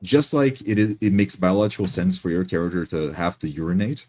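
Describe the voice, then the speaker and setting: A man with a husky voice reasoning through a point in casual discussion, often checking that others agree. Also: low-pitched, lively intonation, brisk, mellow. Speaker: tabletop role-player.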